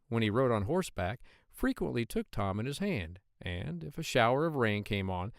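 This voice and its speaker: A man reads a classic children's tale as an audiobook narrator. His mid-pitched voice rises and falls with lively expression, and the sound is close and dry. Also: no echo. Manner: expressive storytelling. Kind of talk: scripted storybook narration.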